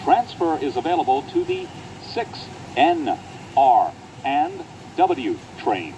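An American man delivering scripted transit announcements in a formal, deliberate way. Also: on the high side, huge pitch swings, dark tone, highs cut off, a little hiss or hum.

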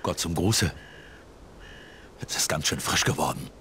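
Genial old village priest for an adventure game, low voice, lively melody, faint crows behind. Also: male voice, measured pace, slight background noise, full character voice. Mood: measured, thoughtful. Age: older. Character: kindly old village pastor, measured and genial. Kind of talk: scripted adventure game dialogue.

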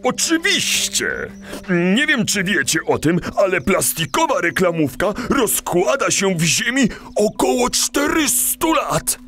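A man's voice: high voice, very animated, unhurried, a bit bright, faint music behind, heavily acted. A man playing a kindly animal teacher in a children's audio play, encouraging and didactic, with small stammers. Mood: earnest, instructive. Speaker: teacher leading a children's lesson.

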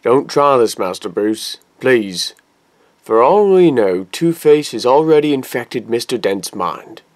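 An actor playing an anxious, deferential butler in an audio drama, speaking in a polite, imploring way. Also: male voice, mid-range voice, sing-song, measured pace, no echo. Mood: worried.

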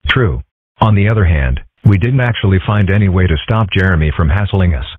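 Man playing a low-voiced, earnest fox boy. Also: animated, brisk, slightly rough, no room sound, narrow, tinny audio. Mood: mildly resigned. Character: earnest, thoughtful young boy fox in top hat. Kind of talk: comic strip dub.

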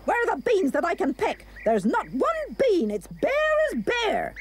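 A woman's voice: very high voice, huge pitch swings, unhurried, very noisy, exaggerated voice. A woman playing a bossy, contemptuous mother who scolds and exclaims in theatrical storybook verse. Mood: outraged. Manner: exclamatory, scornful.

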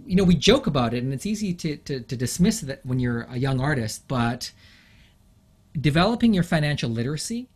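A middle-aged man speaking as an interview guest, in a plain mid-range voice. He talks in a reflective, conversational way, with pauses and fillers as he works through his thoughts. His delivery turns lively when he stresses a point. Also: medium pitch. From England. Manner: thoughtful, animated, with frequent fillers and laughs.

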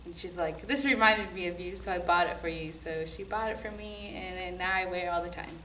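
A woman's voice: on the low side, brisk, pushed and bright, a little hiss or hum. A young woman answering interview questions in a casual, chatty way. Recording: echoey room, distant mic.

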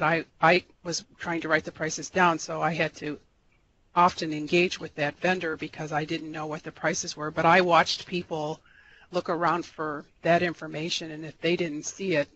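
A man giving a recorded slideshow lecture as an extension educator, relaxed and rambling, with many fillers and restarts. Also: a little high, moderate pace, lo-fi sound, slight background noise. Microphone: voice-over for slides.

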